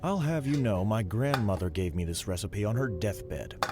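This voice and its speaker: Guy playing gruff tired cop husband in an audio drama, real low voice, dry sarcasm, music behind. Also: animated, normal speed, lightly acted. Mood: affectionate, playful. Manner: dry, sardonic. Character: weary, gruff police detective, devoted husband. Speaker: voice actor. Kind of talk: audio drama acting and first-person narration.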